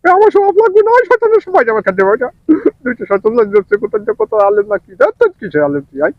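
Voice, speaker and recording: An old farmer from the south, panicky and easily enraged, in a comic dub. The voice is high and races along, tripping over repeated words. It sounds close and dry, like a prank call. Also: male voice, wildly swooping pitch, rapid-fire, dry recording, lo-fi sound, exaggerated voice. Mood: furious scolding. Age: older. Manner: spluttering, repeats words frantically. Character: excitable old village farmer, panicky and furious.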